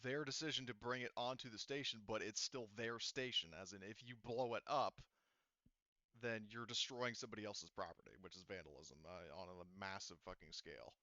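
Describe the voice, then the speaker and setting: A man's voice: on the low side, steady tone, fairly quick, sharp tone, no room sound, dull audio. A man running a tabletop roleplaying game as game master, explaining casually in a hedging way and repeating himself.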